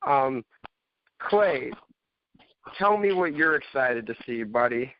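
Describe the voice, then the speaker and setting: A man's voice: mid-range voice, expressive, takes it slowly, dry recording. Informal male podcast host, slightly muffled sound. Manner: informal, addresses co-hosts as buddy and bro. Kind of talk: casual panel chat about games and movies. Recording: slightly dull, lo-fi audio.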